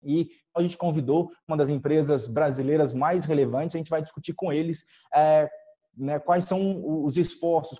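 A man in his thirties with a Brazilian Portuguese accent, hosting an online event informally in a halting, filler-heavy way over a video call from home. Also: a little high, mellow. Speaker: event host. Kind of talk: introducing an online panel event, informal presenting. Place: indoors in a living room at home. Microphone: video call with wired earphones.

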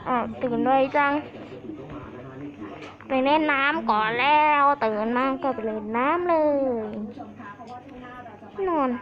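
A woman's voice: sits high, takes it slowly, long pauses. A woman improvising playful role-play over game play as a boy character, in a childlike, exclamatory way. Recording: dull lo-fi audio, loud background voices.

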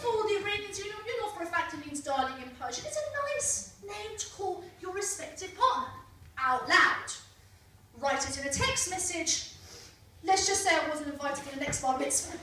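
A woman's voice: very high-pitched, bright, forceful tone, a little hiss or hum. A young woman doing a stand-up comedy set on a small club stage, chatty and teasing the crowd, on a handheld mic filmed from the back of the room. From Britain. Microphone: handheld mic filmed by a far camera. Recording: echoey club from far off.

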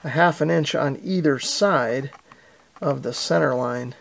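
A man's voice: medium pitch, unhurried, creaky. A middle-aged American man hosting a hobby how-to, talking in an easygoing, self-deprecating, casual way. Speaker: host of a radio hobby channel.

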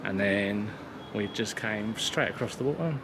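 Low-voiced man, outdoor noise. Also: unhurried. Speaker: vlog host. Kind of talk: casual vlog narration. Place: outdoors by a river and boat. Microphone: handheld camera, operator behind it.

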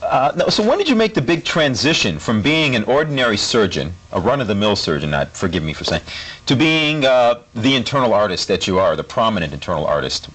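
A male talk show host with a husky, slightly rough voice and lively pitch movement. He puts questions politely and hesitantly, keeping a straight face in a comic interview. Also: fairly quick, low-quality recording.

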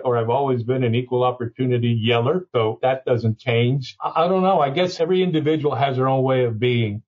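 A man's voice: medium pitch, a little mellow. Candid chuckling man, muffled remote audio. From Cuba. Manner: candid, folksy, chuckles often, says "okay?". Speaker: chief legal officer, guest. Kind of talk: conversational interview.

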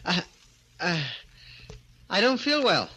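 A man playing a desperate, excitable little man who pleads and stammers, in 1940s radio thriller style. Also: high voice, slow, heavily acted. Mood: nervous stalling. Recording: noisy old 1940s radio recording.

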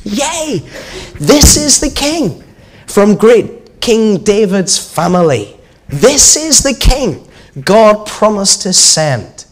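A man voicing an excited, jubilant crowd of children and townsfolk in a children's storybook reading. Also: fairly low pitch, measured pace, few pauses, slightly rough. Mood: jubilant shouting. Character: excited crowd and children cheering their king.

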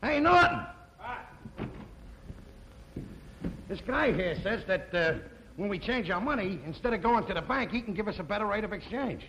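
An actor playing a loud, blustering husband in a 1950s sitcom. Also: male voice, high-pitched, animated, brisk, dull audio. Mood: scheming. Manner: loud and bombastic. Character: blustering, hot-headed working-class husband. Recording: old TV soundtrack with clear hiss.

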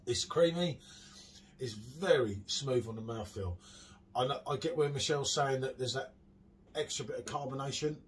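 A middle-aged man, giving a casual review in relaxed conversation. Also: medium pitch, moderate pace. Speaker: beer reviewer. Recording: clearly audible background noise.

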